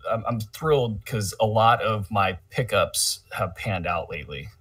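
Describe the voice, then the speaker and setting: A man co-hosting a casual sports chat, talking in a halting, conversational way, a little away from the microphone. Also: on the low side, a bit bright, no room sound, distant mic. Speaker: podcast co-host. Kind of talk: casual fantasy basketball chat.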